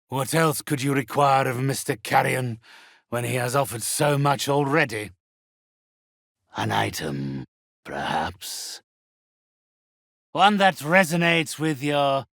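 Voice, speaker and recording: An actor playing a haughty, theatrical undead necromancer in a video game, speaking with pompous, condescending, ornate phrasing. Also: male voice, mid-range voice, vocal fry, fairly bright tone, no room sound, studio quality, exaggerated voice. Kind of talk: video game character acting.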